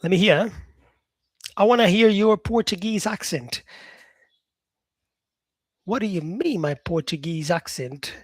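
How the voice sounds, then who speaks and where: A man who teaches English, talking casually to his viewers about their comments, upbeat and encouraging, often restarting himself and filling pauses. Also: high-pitched, expressive, husky, dry recording, clean studio sound. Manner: rambling, restarts himself, many fillers.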